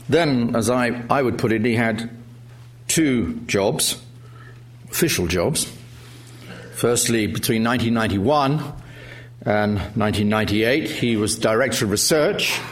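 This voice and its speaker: Hesitant man chairing a lecture. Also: lowish voice. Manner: hesitant, frequent uh fillers, wry humor. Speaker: event chair introducing a speaker. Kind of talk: formal introduction speech with jokes. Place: lecture hall with audience.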